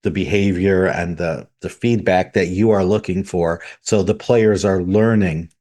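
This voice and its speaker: Man, fairly low voice, podcast co-host, explanatory and steady. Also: measured pace. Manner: explanatory, reads news items aloud. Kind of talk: casual podcast discussion.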